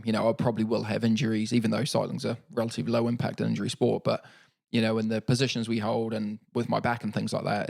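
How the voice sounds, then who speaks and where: A man in his thirties with a New Zealand accent, talking in a relaxed, reflective way as an interview guest, with many fillers and restarts. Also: lowish voice, gravelly. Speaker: guest, paralympic cyclist.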